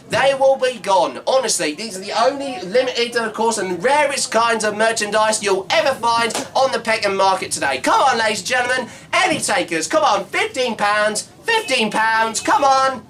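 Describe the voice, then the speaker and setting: A man's voice: extremely high pitch, a bit bright. A man playing a brash male Cockney market trader with pushy sales patter who keeps saying "of course". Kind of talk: comedy sketch character monologue.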